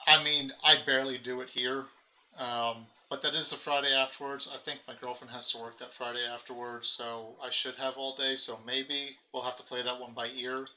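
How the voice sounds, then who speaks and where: An American man co-hosting a card game stream, talking in a loose, rambling way full of "like" and "um". His tone is very bright and forward. The stream audio sounds a bit dull and lo-fi. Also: mid-range voice, moderate pace. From United States. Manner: relaxed, rambling, many fillers. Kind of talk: casual post-game chat.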